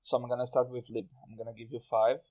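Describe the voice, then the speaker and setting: A man hosting a casual group podcast chat, speaking haltingly and repeating his words. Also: medium pitch, level tone, warm tone, muffled recording. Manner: halting, repeats words, often breaks into laughter. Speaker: podcast host. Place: remote group voice call.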